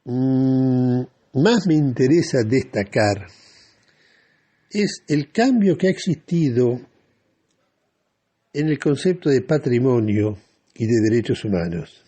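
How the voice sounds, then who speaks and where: Argentine man, radio political commentator, mellow husky voice, didactic delivery, rhetorical questions. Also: mid-range voice, lively intonation, slow pace, pauses often.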